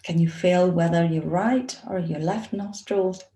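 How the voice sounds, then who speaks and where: Slow, lower-voiced woman guiding meditation. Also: dark tone. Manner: soothing, instructional, with long pauses. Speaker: yoga nidra teacher. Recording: roomy, echoey sound.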